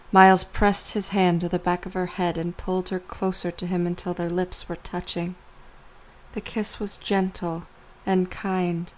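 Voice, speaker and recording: A woman's voice: on the low side, darkish tone, rough recording. A woman narrator reading scripted story narration for an amateur audio drama.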